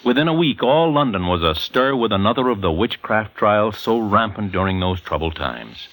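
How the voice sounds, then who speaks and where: Low, animated male radio narrator. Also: normal speed. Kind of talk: dramatic scripted 1940s radio narration.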